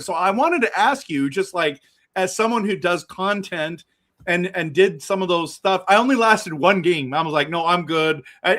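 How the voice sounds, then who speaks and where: Excitable rambling man hosting a chat. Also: on the high side. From Canada. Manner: excitable, rambling, many restarts. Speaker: host. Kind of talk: casual interview chat.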